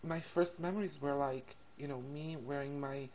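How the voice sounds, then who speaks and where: A woman of thirty from Italy with an Italian accent, telling a personal story casually to camera in a hesitant, halting way. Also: sits low, steady tone. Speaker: vlogger. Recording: muffled lo-fi webcam audio, background noise. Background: classical music and faint room noise.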